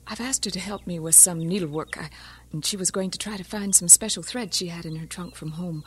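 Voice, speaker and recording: A woman's voice: lowish voice, a little fast, slight background noise, lightly acted. An actress playing an earnest, shy young missionary woman in an audio drama, hesitant and confiding. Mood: friendly.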